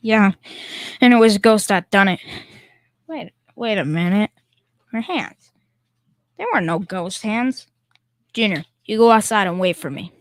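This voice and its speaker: Young woman doing cowardly blowhard radio sitcom dad, folksy wisecracks, mid pitch for a woman, dry recording. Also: measured pace, long pauses, no echo, close to an everyday voice. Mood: resolved, kindly. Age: teenager. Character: blustering, cowardly middle-aged working-class father. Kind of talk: old-time radio comedy acting.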